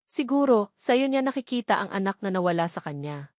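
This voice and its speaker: A woman reading the part of a kindly older housekeeper, soft and motherly in an audio drama. Her tone is calm and reassuring, recorded close and dry with no room sound. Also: medium pitch, a little fast, no echo, natural voice. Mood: gentle.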